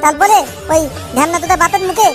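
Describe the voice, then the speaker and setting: Super high squeaky cartoon little boy, talks really fast, lisps, bratty and boastful. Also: over loud music. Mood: boastful, eager. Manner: childish lisping, boastful, grumbling. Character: cheeky, foul-mouthed little boy with big business schemes. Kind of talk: comic cartoon dialogue.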